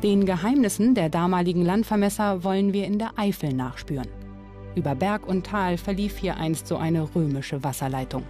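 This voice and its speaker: A woman's voice: on the low side, normal speed, warm tone. A woman reading a scripted documentary narration as the narrator. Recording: music bed under the voice. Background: background music.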